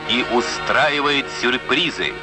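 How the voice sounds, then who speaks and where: A man's voice: mid-range voice, takes it slowly. A man narrates a 1950s Soviet military training film in a formal, declarative, instructional manner. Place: voice-over recording for a film. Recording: 1950s film soundtrack, loud music and battle noise. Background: loud music, gunfire and artillery.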